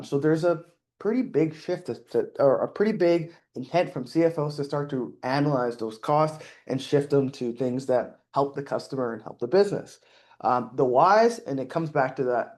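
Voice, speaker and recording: A man's voice: mid-range voice, a little mellow. A middle-aged man giving a conference talk on stage, casual and self-deprecating, with many restarts and fillers. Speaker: conference speaker. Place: conference stage before an audience.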